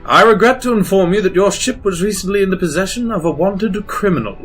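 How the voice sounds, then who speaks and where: A man's voice: high-pitched, brisk, fairly bright tone. A man playing an anxious, dutiful Imperial lieutenant, formal and military, in a sci-fi audio drama. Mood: formal command. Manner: formal, military, slightly nervous. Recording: music behind the voice.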